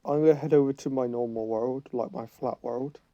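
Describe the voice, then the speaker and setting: Man with a cold, dark gravelly voice. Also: mid-range voice, steady tone, a little fast, no echo, highs cut off. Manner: rambling, self-correcting, thinks aloud while playing. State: has a cold, losing his voice. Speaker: gaming channel host. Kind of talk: casual gameplay commentary.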